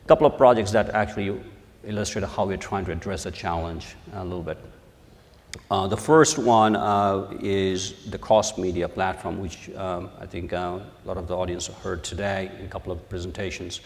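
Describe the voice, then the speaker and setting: Deep-voiced man with an Indian accent. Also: steady tone. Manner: halting, with many "you know" fillers. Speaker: panel speaker, research executive. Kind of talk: conference panel talk. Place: conference hall. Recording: large hall reverb.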